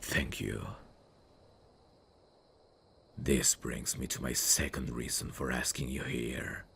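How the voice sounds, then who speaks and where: Man acting a very deep, brooding fantasy prince. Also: steady tone, vocal fry, slight background noise, exaggerated voice. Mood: tender, solemn. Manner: slow, hushed, formal and wistful delivery. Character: brooding evil prince, tender, sorrowfully noble in farewell. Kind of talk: intimate ASMR fantasy audio roleplay.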